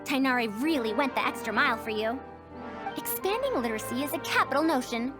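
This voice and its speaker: A woman's voice: high voice, expressive, quick pace, music in the background. A woman voicing a confident, headstrong female scholar in a video game, with formal, old-fashioned phrasing. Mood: encouraging. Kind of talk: voiced game character dialogue.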